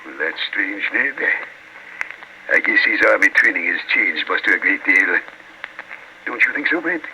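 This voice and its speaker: A protective, well-off older uncle in a forties radio serial. He is emphatic and authoritative, swinging widely in pitch with a very bright edge. The recording has faint hiss. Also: expressive, normal speed, heavily acted, poor audio. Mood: puzzled. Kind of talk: 1940s radio acting. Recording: hissy old radio recording.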